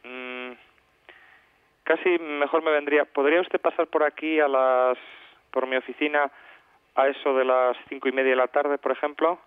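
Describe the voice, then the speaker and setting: A man's voice: mid-range voice, steady tone, moderate pace, pauses often, clear, resonant tone, no echo, lightly acted. A man playing a courteous businessman who thinks aloud hesitantly on a telephone line. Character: courteous businessman answering his office phone. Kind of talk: telephone conversation in a language lesson. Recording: thin narrow telephone line.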